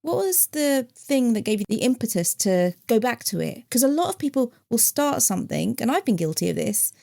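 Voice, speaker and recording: Forties woman interviewer; mellow and a little gravelly, encouraging. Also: on the high side, very animated, fairly quick. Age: 40s. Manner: curious, encouraging questioner. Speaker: podcast host. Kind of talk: interview questions.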